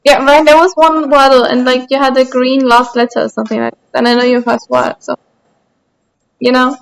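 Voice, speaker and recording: An Austrian woman with a high voice and a light Austrian German accent streams a game and chats casually with her viewers. She answers their messages in a chatty, self-deprecating way. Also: little pitch movement, fairly quick, vocal fry, clean tone, rough recording. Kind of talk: casual livestream chat while gaming.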